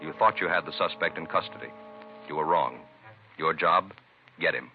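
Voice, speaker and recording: A man's voice: rumbling low, normal speed, loud music behind the voice. A man delivering scripted 1950s radio announcements in a formal, emphatic way. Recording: dull, husky-sounding vintage radio recording.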